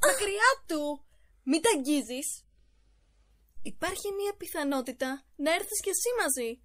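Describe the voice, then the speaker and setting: Shrill-pitched, snobby spoiled girl. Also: very animated, no echo, clean studio sound. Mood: haughty, scornful. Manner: haughty, bossy, taunting. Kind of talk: amateur anime fan dub acting.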